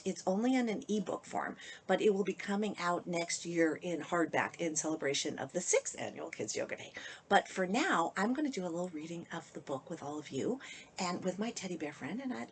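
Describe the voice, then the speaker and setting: A woman children's author with a slightly husky voice, reading a storybook aloud to young listeners and chatting to them in an animated way. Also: medium pitch, a little hiss or hum. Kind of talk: casual intro and expressive storybook reading to children.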